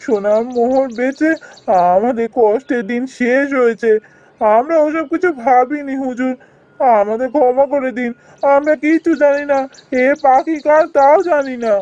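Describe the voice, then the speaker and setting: A performer playing a poor, gentle, anxious village husband in a fairy-tale cartoon, earnest and deferential in manner. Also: sits high, steady tone, moderate pace, lo-fi sound, natural voice. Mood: pleading.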